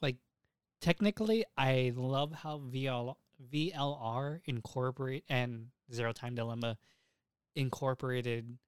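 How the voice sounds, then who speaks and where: Man, mid-pitched voice, casual profane podcast chat, emphatic storyteller, dry close sound. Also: fairly bright tone, smooth, dry recording. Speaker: podcast co-host.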